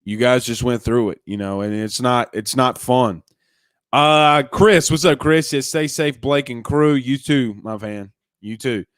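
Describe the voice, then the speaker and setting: A young man rambles through a casual live sports chat, restarting his sentences and calling listeners man and bro. His voice is smooth and resonant, a bit congested, and it sits at a middling pitch. The sound is close and dry, with no room echo. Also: unhurried. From Louisiana. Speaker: sports podcast host. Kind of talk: casual live-stream chat reading comments.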